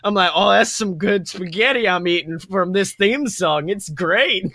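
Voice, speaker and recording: A man's voice: sits high, unhurried, sharp tone. A young man co-hosting a podcast, chatting casually and enthusiastically in a rambling, gushing way that often interrupts itself. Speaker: podcast co-host.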